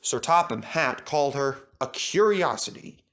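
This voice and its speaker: A man's voice: mid-range voice, animated, measured pace, fairly bright tone. A man narrating a children's story from a script as a storybook reader.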